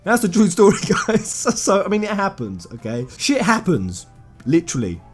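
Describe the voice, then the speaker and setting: A man's voice: sits high, huge pitch swings, moderate pace, breathy edge, soft background music. A British man hosting casual fan commentary, talking to viewers in an emphatic, chatty, enthusiastic way. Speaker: video host.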